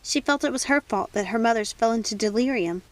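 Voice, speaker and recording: A woman voicing gentle, motherly witches in a storybook, tender, measured and sadly resigned. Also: a little high, lightly acted.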